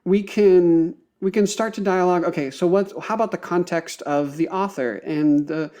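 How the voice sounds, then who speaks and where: Higher-voiced man, halting and thoughtful. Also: steady tone, mellow. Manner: halting, searching for words, academic vocabulary. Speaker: podcast guest. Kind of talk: thoughtful conversational explanation.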